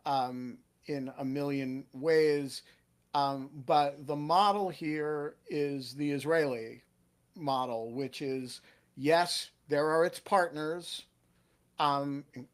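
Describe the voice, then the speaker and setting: A man hosting an online panel discussion, talking emphatically and thinking aloud with fillers and restarts. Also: on the high side. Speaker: host.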